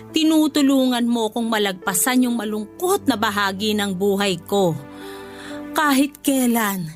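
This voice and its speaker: Woman acting tender high-voiced Filipino nanny. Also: natural voice. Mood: grieving. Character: loving older nanny, motherly, grieving her lost child. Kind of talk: Filipino radio drama acting.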